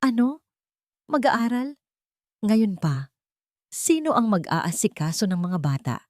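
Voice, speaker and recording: A woman voicing a stern, indignant old mother-in-law who scolds with sharp questions in a dramatic story. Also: mid-range voice, big pitch swings, slow, no room sound, studio quality, voice pushed up. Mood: angry scolding.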